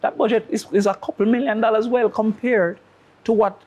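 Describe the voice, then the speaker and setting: A man's voice: high-pitched, fairly even intonation, brisk, a little mellow, lo-fi sound. A man with a Jamaican accent, a talk-show guest who argues his case with analogies and rhetorical questions. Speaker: studio guest. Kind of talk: opinionated talk-show commentary.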